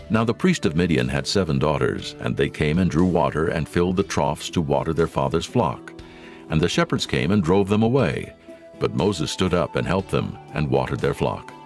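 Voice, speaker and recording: A man's voice: very deep voice, vocal fry. A man narrating scripture for a dramatized audio Bible in solemn storytelling style.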